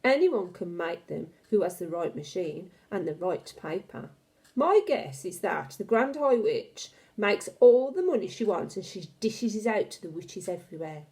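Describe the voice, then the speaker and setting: Woman as elderly storybook grandmother, mellow, assured, explanatory, authoritative. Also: on the low side, animated, moderate pace. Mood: knowing. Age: older. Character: old grandmother, retired witch expert, knowing and assured. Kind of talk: storybook character reading.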